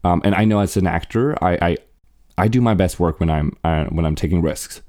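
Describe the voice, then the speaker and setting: A man's voice: low-pitched, a little fast, a little mellow, no echo, clean studio sound. A man whose first language is Swedish, hosting his own podcast in a casual, earnest and upbeat monologue as an actor.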